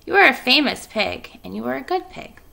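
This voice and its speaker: A woman voicing a tired, gentle female spider in a storybook, reassuring and wise, in a low, calm, hushed voice. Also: a little fast, vocal fry, full character voice.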